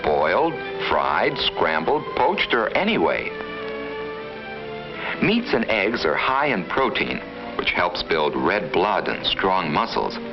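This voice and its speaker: A man with a fairly low voice reads scripted narration for a vintage 1950s classroom film. He instructs like a storyteller talking to children, with animated rises and falls. Music plays clearly behind him on the dull old soundtrack. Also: takes it slowly. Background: background music.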